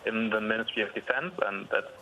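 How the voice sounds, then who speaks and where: A young man with a German accent phones in to a live news program. His voice is bright and forward, his delivery polite and measured, with some pauses as he thinks. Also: on the low side, highs cut off, slight background noise. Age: young adult. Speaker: caller.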